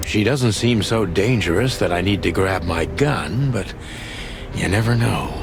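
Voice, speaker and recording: A man playing a world-weary ageing rooster detective, wry and deadpan, narrating a noir game. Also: low voice, unhurried. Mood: weary, brooding. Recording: music behind the voice. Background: music.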